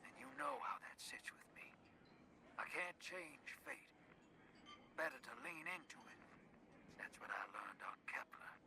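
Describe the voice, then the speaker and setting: Roguish game drifter: folksy, weary, secretive, half-whispered confiding delivery at mid pitch. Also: male voice, animated, unhurried, pauses often, low-quality recording. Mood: confiding, grave. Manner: hushed, confiding, colloquial. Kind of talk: scripted video game monologue.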